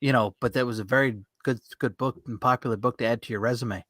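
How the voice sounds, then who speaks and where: A man's voice: medium pitch, steady tone, quick pace, a crowd in the background. A man hosting a podcast, interviewing in an informal, conversational way. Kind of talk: casual chat.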